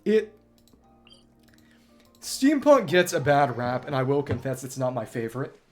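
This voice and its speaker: A man's voice: medium pitch, very animated, measured pace, a little mellow, faint music behind. A man livestreaming a video game, giving casual, bookish commentary that wanders into long tangents. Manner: bookish, discursive, digressive.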